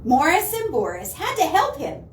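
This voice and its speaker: A woman's voice: sits high, huge pitch swings, normal speed. A woman storyteller reading a children's picture book aloud expressively, with playful questions to listeners. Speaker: library storyteller. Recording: echoey room, distant mic, faint noise.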